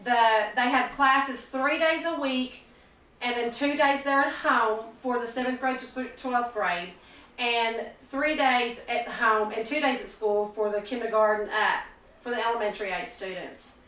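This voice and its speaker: A woman giving a persuasive speech for a class, earnest and halting, often restarting her sentences. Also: a little high, a bit bright. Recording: echoey room, mic far off.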